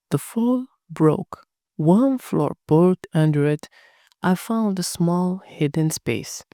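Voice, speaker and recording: A narrator telling a first-person horror story in a hushed, dramatic scripted reading. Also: medium pitch, no echo.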